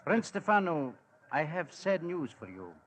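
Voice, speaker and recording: Grave, suave police minister. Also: male voice, a little high, very animated, fairly bright tone, heavily acted. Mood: ominous. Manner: formal, measured, ominous. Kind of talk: 1940s radio acting. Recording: noisy old radio recording.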